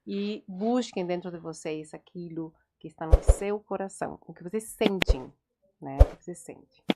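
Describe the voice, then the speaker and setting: A woman's voice: fairly low pitch, normal speed, heavy background noise. A woman with a regional accent co-hosting a psychology podcast, speaking encouragingly in a casual conversation. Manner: warm-hearted, encouraging, lots of "né".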